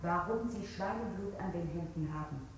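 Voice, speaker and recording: An actress playing a cold, relentless interrogator with insistent, repetitive questions in a stylised 1960s German radio play. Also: female voice, fairly low pitch, normal speed, close to an everyday voice. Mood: probing. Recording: echoey, distant, noisy old radio recording.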